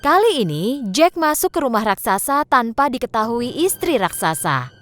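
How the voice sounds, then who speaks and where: A woman's voice: a little high, big pitch swings, normal speed, fairly bright tone. A woman with an Indonesian accent narrating a scripted children's story as the storyteller.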